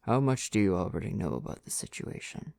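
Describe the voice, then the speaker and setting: Male actor as devoted storybook prince, ASMR roleplay: gravelly, breathy, soothing, courtly phrasing, very dry sound. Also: fairly low pitch, expressive, a little fast, darkish tone, dry recording. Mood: calm storytelling. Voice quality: breathy, often dropping to whispers. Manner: soothing, formal old-fashioned phrasing. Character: tender, devoted prince fiancé back from war.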